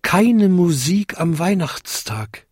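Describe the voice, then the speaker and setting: A man's voice: sits high, very animated, slow, darkish tone, husky, dry recording, clean studio sound, voice pushed up, heavily acted. A man voicing a kindly old man, a retired foundry owner who is now an angel, speaking in an earnest, easily upset way. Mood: distressed.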